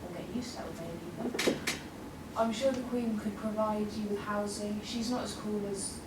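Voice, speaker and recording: A woman's voice: mid-range voice, fairly even intonation, normal speed, roomy sound, distant mic, very noisy, lightly acted. A woman voicing a friendly, easily flustered young maiden pony in a live radio play reading. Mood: welcoming. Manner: gushing, easily flustered, protective.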